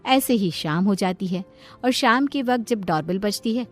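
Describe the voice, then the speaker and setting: A woman's voice: mid-range voice, animated, normal speed, soft background music. A woman delivering scripted narration of a cartoon story as its narrator.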